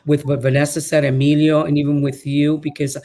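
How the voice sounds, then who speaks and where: A woman's voice: low-pitched, level tone, a little fast, mellow. A woman moderates a casual panel over a video call. Her manner is effusive and encouraging, and she keeps the talk moving. Her first language was Spanish.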